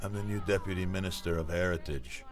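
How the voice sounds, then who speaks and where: An actor playing a brisk, breezy and impatient government deputy minister in a satirical audio comedy, with a brusque, forthright delivery. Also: male voice, low-pitched, background noise, lightly acted.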